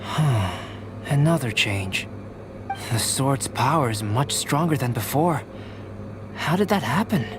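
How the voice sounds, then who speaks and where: An actor playing a calm, gently aloof young male alchemist in a video game, speaking in a measured, analytical way. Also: medium pitch, over music. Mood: intrigued, analytical. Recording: faint background noise.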